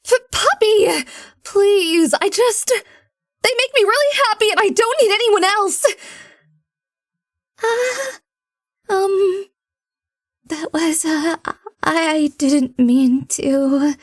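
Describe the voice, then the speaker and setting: A woman's voice: extremely high pitch, drawn-out words, long pauses, no echo, clean studio sound, exaggerated voice. A woman voicing an earnest, easily flustered young fire genasi, hesitant and emotional, in a fantasy audio drama. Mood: upset, flustered. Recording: clear music behind the voice.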